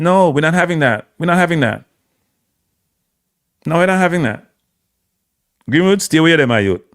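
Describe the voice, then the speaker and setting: A man's voice: mid-range voice, no echo, studio quality. A man with a Jamaican accent delivering a blunt, heated football rant, slipping into patois. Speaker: football commentator. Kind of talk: live opinion rant.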